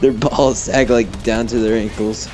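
A man's voice: fairly low pitch, fairly even intonation, unhurried, a little mellow. A teenage boy chatting and joking with a friend during an online shooter game, laughing often. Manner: giggly, joking. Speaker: co-player friend. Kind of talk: casual gaming chat. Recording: game music and gunfire behind.

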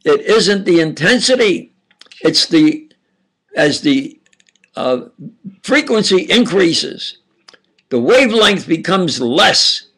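An older man with an Upstate New York and New Jersey accent, giving a halting, insistent monologue lecture with sudden loud emphasis. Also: sits high, very animated, husky, low-quality recording. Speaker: self-styled preacher lecturing on camera.

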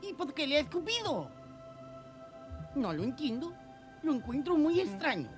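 A tiny male space gnome with an extremely high, squeaky voice. He is naive and excitable, exclaiming at everything in a cartoon dub over clear music. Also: normal speed. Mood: curious. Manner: squeaky, wide-eyed, exclaiming. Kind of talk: dubbed children's cartoon acting.

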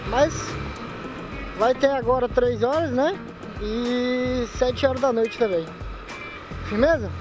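A man talks in a relaxed, slangy way while he rides a motorcycle outdoors. The sound comes from a helmet-mounted camera mic. Music plays clearly underneath his voice. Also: very high-pitched, slow pace. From Manaus, Brazil. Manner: chatty, laid-back slang, frequent laughs. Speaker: motovlogger. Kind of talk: casual motorcycle vlog commentary. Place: riding a motorcycle on city streets outdoors.

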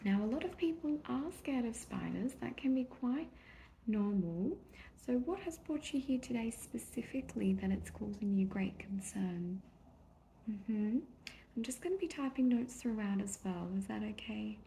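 A woman doing a soft-spoken ASMR therapist roleplay, gentle and reassuring, often slipping into a whisper. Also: fairly high pitch, expressive, moderate pace, creaky, rough recording. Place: small indoor room at a desk. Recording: soft background noise, keyboard clicks. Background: computer keyboard typing.

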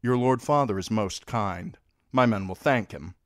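A mature noblewoman with a dry wit and a measured, level manner, as a man reads her lines. The voice stays deep and lively in pitch, close to his own, and sounds dry. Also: no echo, natural voice. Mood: wry.

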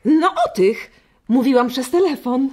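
A cheerful, doting mum in a children's audio drama. Her voice is fairly high and husky, with lively, expressive swings. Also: unhurried. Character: affectionate, cheerful young mother. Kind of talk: children's radio play acting.

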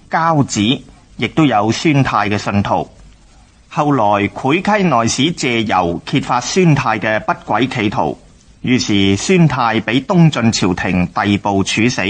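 A man with a Hong Kong Cantonese accent narrating a historical radio drama in a formal, measured, scripted way. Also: mid-range voice.

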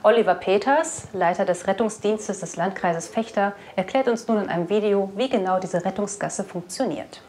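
A woman's voice: medium pitch, normal speed, few pauses, darkish tone, a little hiss or hum. A young woman presents scripted weekly news in a studio, speaking into a lapel microphone. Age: young adult. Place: indoor studio. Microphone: clip-on lapel microphone.

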